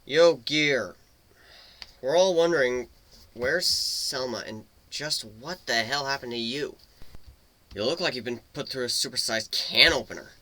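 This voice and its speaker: Casting: male reader as brash griffin captain, tense bright voice, blunt, emotional, foul-mouthed, for dramatic storytelling. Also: medium pitch, a little slow. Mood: blunt, questioning.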